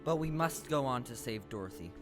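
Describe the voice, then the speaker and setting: A woman's voice: a bass voice, lively intonation, a little fast. A woman playing an earnest, friendly, take-charge scarecrow in a stage audio play, with an emphatic, urging delivery. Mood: concerned. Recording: live stage recording with music.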